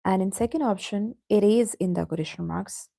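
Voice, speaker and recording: Patient female teacher for an online class, gravelly voice, dry close sound. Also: mid-range voice, normal speed, warm tone, dry recording. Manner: patient, addresses students by name.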